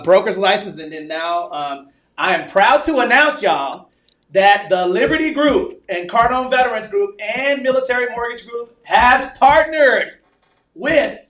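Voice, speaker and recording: A man with a fairly high voice hosts a casual podcast interview. He talks informally and likes to banter, keeping things jovial as he puts his questions. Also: a bit bright. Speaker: podcast host.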